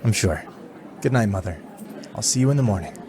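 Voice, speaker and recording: Man acting earnest, expressive young soldier. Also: lowish voice, brisk, crowd noise, natural voice. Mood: reassuring. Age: young adult. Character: earnest young soldier, pleading and good-hearted. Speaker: voice actor. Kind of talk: fantasy audio drama acting.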